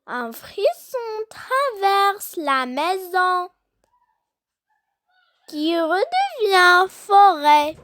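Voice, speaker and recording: A childlike voice reciting a poem for young learners, deliberately and phrase by phrase, with long pauses. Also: very high-pitched, big pitch swings, pushed and bright, a little hiss or hum.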